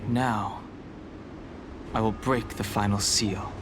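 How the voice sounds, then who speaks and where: A man acting a composed, scholarly guardian in a video game, speaking formally and gravely, with music behind him. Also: lowish voice, steady tone, slow pace, noisy background. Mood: grave, resolute.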